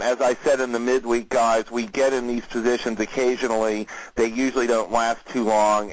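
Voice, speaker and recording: A man's voice: medium pitch, no room sound, poor audio. Dry, close-sounding male market analyst. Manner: measured, conversational, frequent hesitant fillers. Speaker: market analyst, host of a weekly conference call. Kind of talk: unscripted market commentary for clients.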